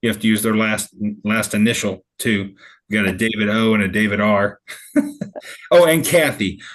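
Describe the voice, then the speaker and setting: A man's voice: lowish voice, moderate pace. Chatty laughing St. man host. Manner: chatty, laughs often, loose rambling restarts. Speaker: meetup host. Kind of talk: casual group video call chat.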